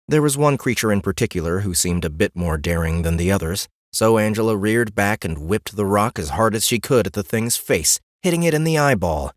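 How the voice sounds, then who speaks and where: Low-pitched man narrating a fantasy audiobook. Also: expressive, fairly quick, few pauses, dry recording, clean studio sound.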